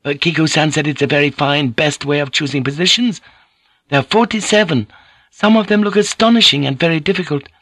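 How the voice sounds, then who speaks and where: Man voicing a high, polite woman. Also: a little fast, a bit bright, no echo. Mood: eager, coaxing. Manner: polite, apologetic, careful foreign-sounding English. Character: gentle, deferential Japanese woman, earnest and coaxing. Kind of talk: audiobook character voice.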